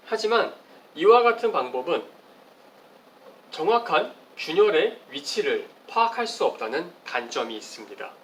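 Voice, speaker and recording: A man's voice: a little high, fairly bright tone. A man delivers a formal academic presentation as a research presenter, explaining phrase by phrase. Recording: distant mic, faint background noise.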